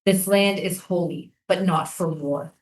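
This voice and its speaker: A woman's voice: fairly low pitch, measured pace, close to an everyday voice. Echoey, defiant native islander, acted by a woman. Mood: solemn. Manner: incantatory, repetitive pleading. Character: defiant, mournful native islander pleading for stolen land. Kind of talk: theatrical staged reading. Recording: echoey room.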